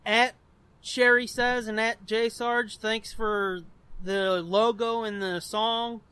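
High-pitched, bright-voiced man podcasting. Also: little pitch movement, takes it slowly, clean tone, no echo. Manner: informal, swears often. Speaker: podcast co-host. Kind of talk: casual podcast chat.

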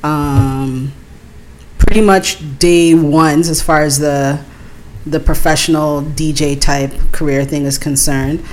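A woman DJ answering interview questions casually, relaxed and hesitant, often pausing on fillers. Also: low voice, a little hiss or hum. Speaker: DJ guest.

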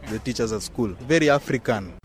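A man's voice: mid-range voice, a little fast, faint music behind. A young man from Kenya with a Kenyan accent, answering casually as a street interviewee. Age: young adult.

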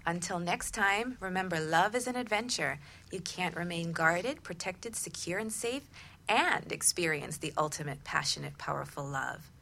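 Casting: middle-aged female radio host, very bright, forward voice, warm talk-show delivery, faint rumble behind. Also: lively intonation, normal speed, gravelly.

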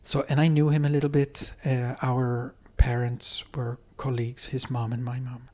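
A middle-aged man speaks in English with a Swedish accent, his voice at an ordinary pitch for a man. He talks as an interview guest, hesitant and thoughtful, filling gaps as he recalls details. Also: level tone, moderate pace, a little mellow. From Sweden. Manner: hesitant, many fillers and restarts. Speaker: dance professor, guest. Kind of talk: conversational interview. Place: apartment.

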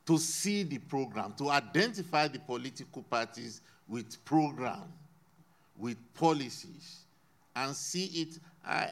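Man, panel speaker, measured, deliberate, emphatic. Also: medium pitch, fairly bright tone. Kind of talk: panel discussion. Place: lives in Sweden.

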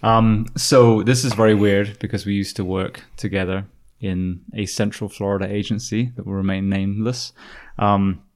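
A man with a fairly low voice hosts a podcast interview from a home. He talks in an earnest, measured way and sometimes restarts his sentences. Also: fairly even intonation. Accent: British. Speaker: podcast host.

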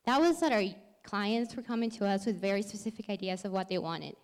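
A young woman from Peru giving an earnest, explanatory startup pitch on stage as the company's founder. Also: fairly quick, a little mellow, smooth. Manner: earnest, explanatory, occasional self-correction.

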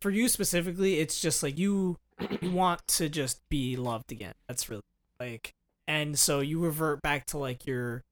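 A young American man speaks in a somewhat high voice. He chats casually and bluntly in slang as a podcast co-host, restarting and rewording his thoughts as he goes. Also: normal speed. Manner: slangy, blunt, repeats and rephrases himself. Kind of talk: casual chat.

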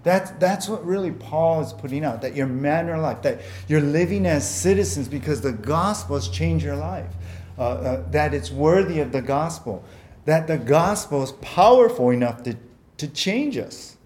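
Male pastor, sermon, slightly high pitch, earnest, repeats himself, first language japanese. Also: animated, normal speed, a little mellow, slight background noise. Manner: earnest, repeats words, many "you know" fillers.

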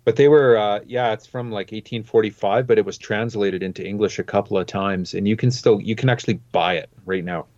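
A man's voice: lowish voice, fairly even intonation, brisk, few pauses, a little mellow, no echo. A man co-hosting a podcast, rambling casually with lots of fillers. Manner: loose and rambling, many fillers like "like" and "you know". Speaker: podcast co-host. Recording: dry, dull lo-fi mic.

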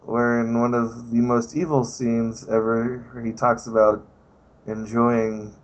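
A man's voice: medium pitch, level tone, takes it slowly, poor audio. A man hosting a podcast, reading a film plot summary aloud and riffing on it with swearing and laughter. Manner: swears often, laughs, riffs on what he reads. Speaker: podcast host.

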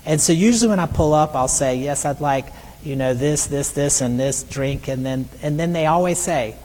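Middle-aged guy preaching, soft highish voice, chatty storytelling, long hall echo. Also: moderate pace. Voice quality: soft, high voice often mistaken for a woman's. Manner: conversational storytelling with repeated restarts. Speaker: missionary preacher. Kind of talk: sermon.

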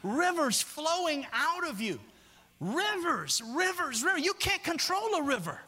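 A male pastor preaching a sermon in a church, chatting casually with the congregation, reading scripture slowly, and climbing higher and louder as he gets worked up. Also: sing-song. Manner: reads scripture slowly and deliberately. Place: church sanctuary. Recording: long hall reverb.

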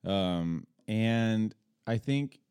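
A man hosting a podcast in a casual morning chat, groggy and halting, laughing often and restarting his phrases. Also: lowish voice, expressive, a little mellow, clean tone, dry recording, clean studio sound. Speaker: podcast host.

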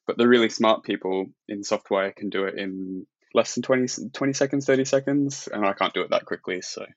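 A man's voice: on the low side, a little fast, no room sound, muffled recording. A man from Australia, a UX designer talking as a guest in a casual interview, thinking aloud with many restarts and fillers.

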